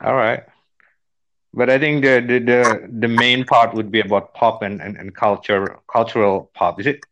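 Indonesian man, accented English, hesitant filler-heavy chat, mid pitch. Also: fairly even intonation, fairly bright tone, rough recording. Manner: halting, many fillers and restarts. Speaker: business trainer. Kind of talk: casual conversation.

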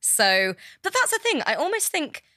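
A woman's voice: sits high, sing-song, normal speed, pushed and bright, no echo, studio quality. A young woman in her twenties, a podcast guest chatting casually and poking fun at herself. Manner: self-mocking, laughs while reading.

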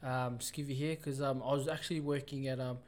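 A man's voice: medium pitch, flat delivery, brisk. A young man, a youth worker talking casually with many fillers. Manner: laid-back, many fillers. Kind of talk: casual chat.

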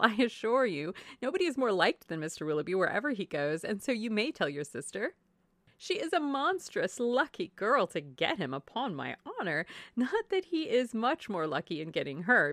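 A woman playing a silly, chatty young married gentlewoman in a classic novel reading, effusive and exclamatory. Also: medium pitch, no room sound. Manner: effusive, exclamatory, prone to giggling. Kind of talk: classic novel audiobook dialogue.

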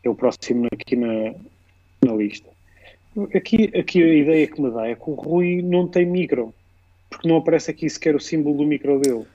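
A man with a European Portuguese accent co-hosting a casual chat, calm and matter-of-fact. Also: a little high, fairly quick. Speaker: co-host. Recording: dull lo-fi sound.

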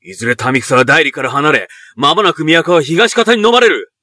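Casting: proud, middle-aged feudal commander and father; forceful, sharp tone, archaic imperious diction, very dry close sound. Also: fairly high pitch, very animated, normal speed, dry recording. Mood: anguished, pleading. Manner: archaic, imperious samurai speech. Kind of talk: Japanese period voice drama acting.

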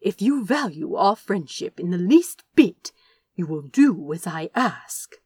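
A fussy, stubborn old male angel , put on by a woman narrator, coaxing in a prim, soft-spoken way. He pitches a little above her narrating voice. Dry, close recording. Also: big pitch swings, a little slow, husky, no room sound, exaggerated voice. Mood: coaxing, insistent.

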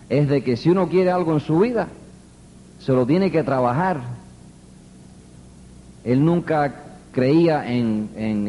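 A man's voice: mid-range voice, mellow, long reverb tail, a little hiss or hum, poor audio. An older man from New York giving an earnest motivational speech to a live audience. He tells the story with emphatic repetition. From New York, United States. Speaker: motivational speaker.

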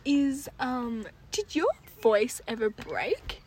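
A woman's voice: fairly high pitch, big pitch swings, slow pace, darkish tone. A young woman co-hosting a podcast, talking candidly and laughing, often dropping to a near-whisper. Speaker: podcast co-host. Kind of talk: casual chat.

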